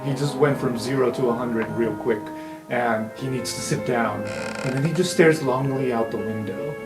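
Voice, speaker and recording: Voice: mid-range voice, moderate pace, poor audio. A player narrating their character's actions in an improvised tabletop roleplay. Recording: music behind.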